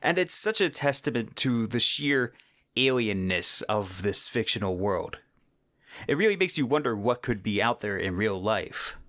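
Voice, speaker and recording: A man narrating a podcast as host in an expressive, descriptive, enthusiastic way. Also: on the low side, a little slow, no room sound, clean studio sound. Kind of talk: podcast narration about science fiction.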